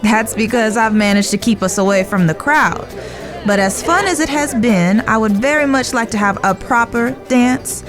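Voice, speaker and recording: A woman's voice: a little high, normal speed, close to an everyday voice. A woman acting in a western audio drama as a poised, playful and insistent lady with a genteel, formal manner. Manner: genteel, formal, gently teasing. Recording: music under the voice.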